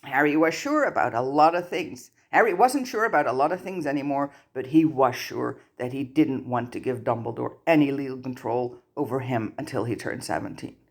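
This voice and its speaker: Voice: mid-range voice, normal speed, few pauses. A narrator reading fan fiction aloud as scripted story narration. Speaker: audiobook narrator.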